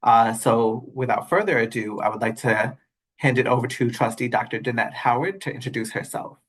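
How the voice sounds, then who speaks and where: A man's voice: medium pitch, normal speed, husky. A man hosting and moderating an online alumni call in a polite, formal way, with many small fillers, heard over a video call. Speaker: alumni relations director and host.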